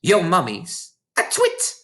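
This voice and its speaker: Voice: mid-range voice, huge pitch swings, takes it slowly, a bit bright, voice pushed up, exaggerated voice. A reader voicing a huge, menacing, contemptuous headmistress who barks and bellows orders in a children's storybook. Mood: furious, commanding. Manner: barking, bellowing commands.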